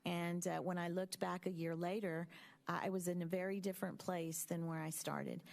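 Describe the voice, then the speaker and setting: A woman in her fifties speaking at a school board meeting, telling a personal story in a measured, reflective way with frequent ums. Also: on the low side, steady tone, gravelly, a little mellow. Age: 50s.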